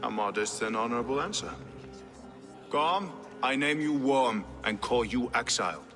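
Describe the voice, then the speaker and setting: A man's voice: medium pitch, lively intonation, natural voice. Man playing a regal Norse king, echoing hall. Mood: stern. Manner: formal, deliberate, regal. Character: commanding, measured Norse king holding court. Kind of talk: dramatic scripted game acting. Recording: echoey hall with music underneath.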